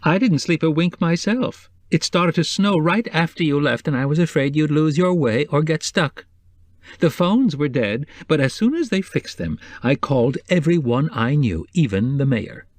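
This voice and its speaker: A man's voice: on the high side, expressive, moderate pace, dry recording, pitched above the performer's own voice, close to an everyday voice. A man voicing a fretful, motherly middle-aged woman who keeps house, in a raised voice. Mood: worried.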